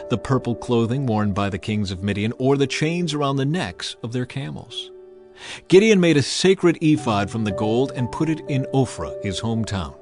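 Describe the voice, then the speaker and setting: A man narrating a dramatized Bible audiobook in a scripted storytelling style. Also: medium pitch.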